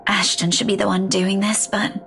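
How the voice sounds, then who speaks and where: An actress in a story game playing a weary, distraught woman who speaks haltingly, with sighs. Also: medium pitch, flat delivery, creaky, a bit bright, slight background noise. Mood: grave, weary. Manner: halting, sighing, faltering speech. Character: weary, distraught woman keeping hospital vigil over loved one. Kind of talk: dramatic game dialogue.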